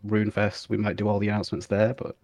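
A man's voice: on the low side, monotone, fast talker, a little mellow, no room sound, clean studio sound. A man, a guest on a podcast, chatting casually in an easygoing, slightly tentative way. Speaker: podcast guest, game company QA analyst. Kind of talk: casual chat.

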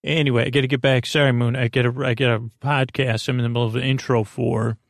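Creaky-voiced man rambling through a bedtime podcast. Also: medium pitch, little pitch movement, no echo, studio quality. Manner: meandering, halting, digressive, trailing off mid-sentence; playfully earnest, addressing imagined listeners and objects. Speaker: podcast host.

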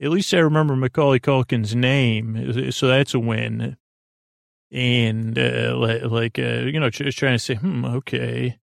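A man hosting a bedtime podcast in a gentle, reassuring, informal way, meandering and halting with many false starts, in a creaky, gravelly voice. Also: medium pitch, steady tone, no echo, clean studio sound. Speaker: podcast host. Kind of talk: rambling, soothing bedtime podcast monologue.

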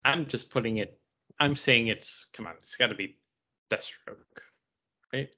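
A man's voice: medium pitch, unhurried, bright, forceful tone, highs cut off. A man co-hosting a podcast, chatting casually about comic books in a joking, self-deprecating way. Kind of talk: casual comic book review chat.

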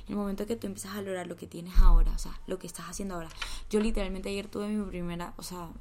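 A young woman, hosting a podcast in a heartfelt, motivational chat that often sinks to a soft whisper. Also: medium pitch, steady tone, very fast, a little hiss or hum. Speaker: podcast host.

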